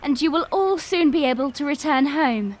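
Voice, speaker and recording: A woman's voice: sits high, animated, moderate pace, soft background music. A woman playing a young princess addressing her people in an audio drama, formal, earnest and declamatory. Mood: resolute, proud. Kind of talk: audio drama acting, oratorical speech.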